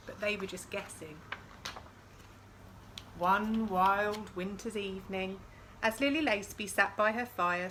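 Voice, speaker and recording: Bright-voiced woman reading slowly to children. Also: medium pitch, a little hiss or hum, low-quality recording. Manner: addresses young listeners with questions and dramatised sound words. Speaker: storyteller reading a picture book aloud. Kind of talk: expressive children's read-aloud with chatty asides.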